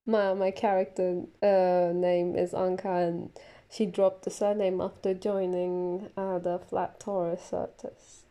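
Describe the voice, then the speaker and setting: A woman's voice: fairly low pitch, little pitch movement, slow, warm tone. A young woman whose first language is not English, a player on a role-playing podcast, speaking hesitantly with many fillers and giggles. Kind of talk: casual chat.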